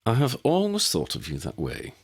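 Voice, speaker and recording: A man's voice: on the low side, wildly swooping pitch, normal speed, gravelly, husky, voice pushed up, full character voice. Man as a formal, courteous uncle. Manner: formal, wordy, polite.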